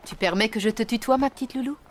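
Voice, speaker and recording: An actress playing an exacting but kindly ballet teacher in a cartoon, speaking in a formal, precise, theatrical way. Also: female voice, a little high, quick pace, close to an everyday voice. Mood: gentle, encouraging. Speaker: voice actor. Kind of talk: French animated series dubbing.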